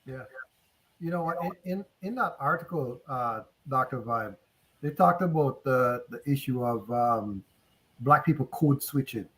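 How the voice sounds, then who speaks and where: A man's voice: mid-range voice, lively intonation, a little slow, a bit bright, a little hiss or hum, rough recording. A man with a Jamaican accent speaking as a panelist in an online discussion, telling candid personal anecdotes in a conversational way over a video call.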